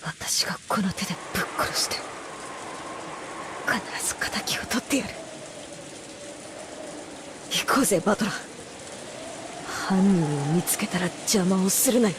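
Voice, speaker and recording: An actress playing a furious, grieving teenage tomboy with raw, vengeful delivery in an anime visual novel. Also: female voice, mid-range voice, brisk, long pauses, background noise, lightly acted. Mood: grim vengeful. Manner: fierce, vengeful, emotionally raw.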